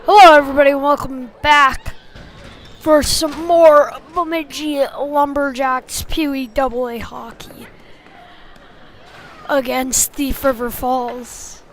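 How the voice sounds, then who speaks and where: A woman's voice: sits high, fairly even intonation, slow, a little hiss or hum, low-quality recording. A woman giving informal amateur play-by-play of a youth hockey game in a partisan, homespun way. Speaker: play-by-play commentator.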